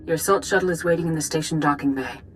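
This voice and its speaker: Low-voiced, composed female Jedi Master played by a woman. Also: slight background noise, natural voice. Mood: grave, resolute. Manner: calm, formal, measured. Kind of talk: video game voice acting.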